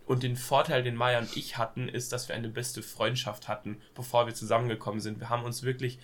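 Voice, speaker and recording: A man's voice: mid-range voice, flat delivery, fairly quick, fairly bright tone. A young man with a cold, chatting casually as podcast co-host, hesitant with lots of fillers and youthful slang. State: has a cold. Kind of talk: casual chat.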